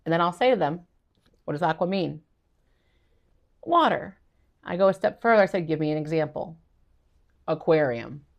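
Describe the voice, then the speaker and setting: Middle-aged woman reading tutor, low and gravelly, encouraging instructional delivery. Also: expressive, moderate pace, pauses often, lo-fi sound. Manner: encouraging, acts out student exchanges. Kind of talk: instructional talk to parents and teachers.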